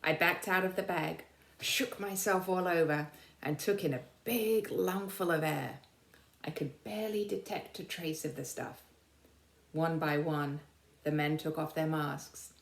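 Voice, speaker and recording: A woman narrating a children's storybook aloud with dramatic, deliberate emphasis. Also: deep voice, fairly even intonation, gravelly, echoey room. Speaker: teacher reading aloud.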